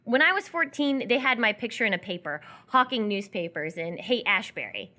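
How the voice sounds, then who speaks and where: A woman's voice: a little high, lively intonation, normal speed, sharp tone. An actress in live radio theatre playing a sardonic, teasing young runaway woman. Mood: wry, mocking. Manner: teasing, wry.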